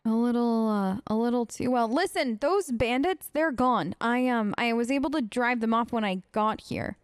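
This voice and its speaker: A woman's voice: fairly high pitch, normal speed, clear, resonant tone, dry recording, clean studio sound. A woman hosting a role-play game let's play, talking casually in a sassy, rambling way. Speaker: gaming host, role-playing her character.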